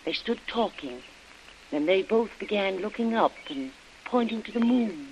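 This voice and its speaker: A woman's voice: medium pitch, expressive, measured pace, darkish tone, lightly acted. A woman playing an anxious young wife who earnestly recounts events in a vintage radio drama. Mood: earnest recollection. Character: Helen, anxious young wife recalling her ordeal. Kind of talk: 1940s radio drama acting. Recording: muffled, noisy old radio recording.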